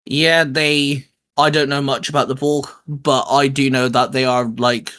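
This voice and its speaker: A man's voice: medium pitch, little pitch movement, unhurried, bright, forceful tone. A man hosting casually, talking in an informal, hesitant, improvised way. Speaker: YouTube channel host. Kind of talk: casual, improvised skit and chat.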